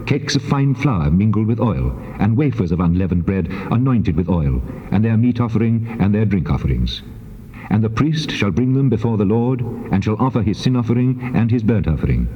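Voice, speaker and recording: An actor playing a solemn, commanding God who pronounces sacred law in a measured, formal recitation. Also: male voice, fairly low pitch, natural voice. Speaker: actor in dramatized Bible. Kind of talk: dramatized scripture recitation. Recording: dull tape transfer with long reverb.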